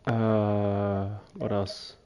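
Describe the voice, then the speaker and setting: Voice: sits low, little pitch movement, very slow, mellow, slight background noise. A game streamer giving casual livestream commentary, muttering and thinking aloud while playing.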